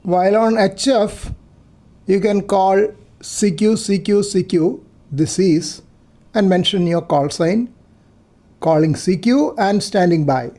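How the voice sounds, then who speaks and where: A man narrates a scripted tutorial. His voice sits notably high for a man, yet its tone is dark and mellow. He presents the material as an instructor guiding beginners. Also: takes it slowly. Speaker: amateur radio instructor.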